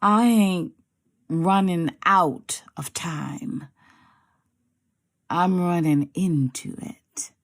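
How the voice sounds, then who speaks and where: A woman from New York with an American accent, reading a prepared piece as a poet with deliberate, theatrical phrasing. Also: fairly low pitch. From New York, United States. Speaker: poet, actress and storyteller. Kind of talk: scripted self-introduction and poetry recital.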